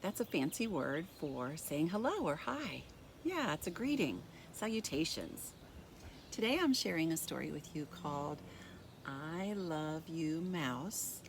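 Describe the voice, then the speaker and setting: A woman reading a picture book aloud as a story time host, speaking deliberately and expressively to young children. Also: low voice, slight background noise. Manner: deliberate, expressive, addressing young listeners directly.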